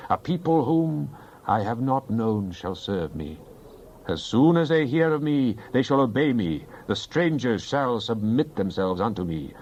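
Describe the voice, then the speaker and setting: A man's voice: lowish voice, darkish tone, highs cut off, a little hiss or hum. A man giving a dramatized Bible reading in a solemn, declamatory manner. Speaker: scripture reader.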